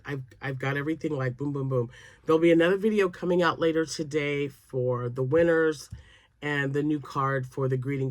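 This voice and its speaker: A woman's voice: low voice, no echo. A woman in her later years, a crochet vlogger chatting casually to camera, a little nasal and froggy from sinus drainage, rambling with fillers. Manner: chatty and rambling, many fillers, laughs often.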